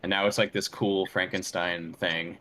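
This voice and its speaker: A man chatting casually as a guest, relaxed and a little hesitant in his phrasing. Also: low-pitched, moderate pace. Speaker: interview guest, musician. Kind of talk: casual chat.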